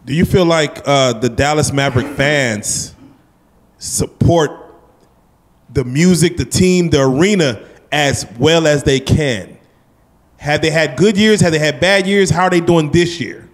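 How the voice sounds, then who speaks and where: A man's voice: fairly high pitch, a little slow. A man hosting a casual interview, blunt and joking, swearing freely as he asks questions. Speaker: interview host.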